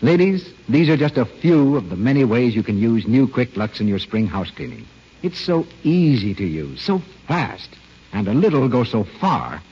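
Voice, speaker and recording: A man's voice: mid-range voice, very animated, normal speed, dark tone, slightly rough. A man announcing as host of a 1930s radio show, in a polished, theatrical scripted style. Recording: old muffled radio broadcast, faint music.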